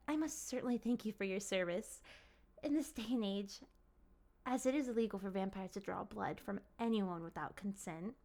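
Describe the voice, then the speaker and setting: A woman playing a possessive, lovestruck vampire in an ASMR roleplay, speaking in formal, ornate, pleading phrases that often slip into breathy whispers. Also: medium pitch, lightly acted. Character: obsessive, courtly, possessive female vampire in love.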